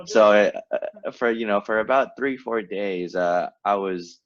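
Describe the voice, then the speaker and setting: Male co-host, late 20s, deep voice, relaxed storyteller with lots of "uh"s, muffled podcast audio. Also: slow. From Vietnam, raised in California. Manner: laid-back, laughs often, lots of fillers. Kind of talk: casual chat. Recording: dull lo-fi sound.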